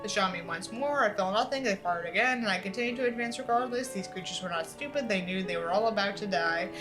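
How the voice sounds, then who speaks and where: A woman's voice: medium pitch, fast, music in the background, poor audio. A woman reading a book aloud as an online creator, with an irreverent, exasperated manner and casual, sweary asides.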